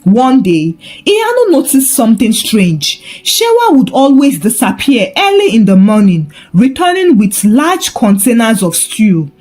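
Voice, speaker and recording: Female folktale narrator: unhurried, scripted storytelling with a fairly high, animated voice. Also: soft background music.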